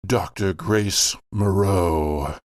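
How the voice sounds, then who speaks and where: A sinister villain speaking through an alien body, put on by a male game master. The voice is low and very slow, each word set down with deliberate menace. It is recorded close and dry, like a horror audio drama. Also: no room sound. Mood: menacing.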